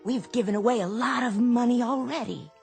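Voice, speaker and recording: An actress playing an affectionate but anxious shopkeeper's wife in a radio drama, earnest and expressive. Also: female voice, on the high side, a little fast, creaky, faint music behind. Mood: worried, weary.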